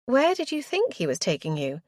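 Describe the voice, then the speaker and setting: A brisk Regency aunt, formal and tart, her pitch lively as she questions, voiced by a woman reading a novel aloud. The storybook recording is close and dry. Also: dry recording.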